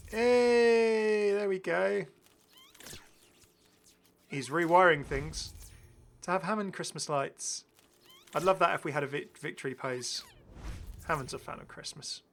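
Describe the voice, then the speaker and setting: Bright-voiced man hosting gaming commentary. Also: a little high, vocal fry. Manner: chatty, prone to chuckling asides. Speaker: gaming video host. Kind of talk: casual enthusiastic game update commentary.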